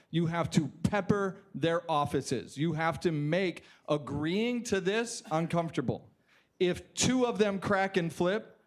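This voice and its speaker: Urgent man rallying a forum crowd. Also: a little high. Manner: urgent, emphatic, repeats "you have to". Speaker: audience member at a public forum. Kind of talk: impassioned call to action. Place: conference hall.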